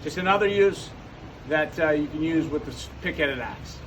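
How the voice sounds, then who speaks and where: Guy with kinda high voice showing how to use gear, repeats himself, some noise behind. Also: expressive, moderate pace, fairly bright tone. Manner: repeats and restarts phrases as he explains. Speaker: firefighting instructor. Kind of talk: hands-on demonstration, unscripted teaching.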